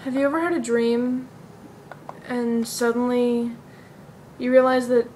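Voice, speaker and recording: A woman acts out a bleak, depressed young woman in a dramatic stage monologue. The voice is on the high side, earnest and confessional, over a slightly noisy amateur recording. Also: level tone, a little slow, darkish tone, close to an everyday voice. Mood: subdued, confiding.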